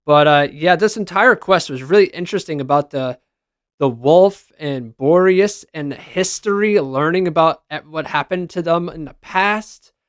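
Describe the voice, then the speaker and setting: Higher-pitched man giving casual fan commentary. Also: moderate pace, clear, resonant tone, dry recording, studio quality. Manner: informal, gushing fan talk. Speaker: video game reviewer and reaction host. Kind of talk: casual enthusiastic commentary over screenshots.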